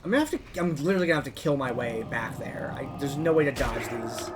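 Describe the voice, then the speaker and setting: A man's voice: lively intonation, fast talker, very noisy. A man telling long rambling stories with lots of "like" fillers while he plays a game. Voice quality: describes his own voice as higher than a deep bass beat. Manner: rambling, heavy use of "like" as filler. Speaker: gamer narrating his own playthrough. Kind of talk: casual storytelling commentary while playing.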